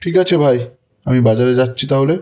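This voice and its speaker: A man's voice: medium pitch, fast, a little mellow, natural voice. A man voicing a mild, hesitant village householder in a cartoon. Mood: agreeable. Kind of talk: Bengali cartoon voice acting.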